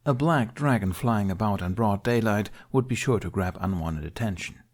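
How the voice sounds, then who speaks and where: A man with a fairly low voice narrates an audiobook. He tells an adventure story in an expressive, scripted storytelling style. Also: moderate pace.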